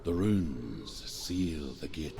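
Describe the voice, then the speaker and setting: An actor playing a half-mad, loyal old fugitive storyteller in a video game, breathy and rough-edged, with theatrical, erratic delivery. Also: male voice, a bass voice, expressive, very slow, background noise, full character voice. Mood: solemn, vengeful. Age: older. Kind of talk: dramatic video game acting.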